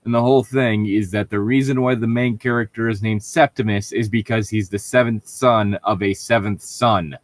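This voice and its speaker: Deep-voiced, exasperated man mocking a story. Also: steady tone, normal speed, hardly any pauses, dry recording. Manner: exasperated, sarcastic, profane. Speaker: podcast host reading and mocking fan fiction. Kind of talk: casual riffing between lines read aloud.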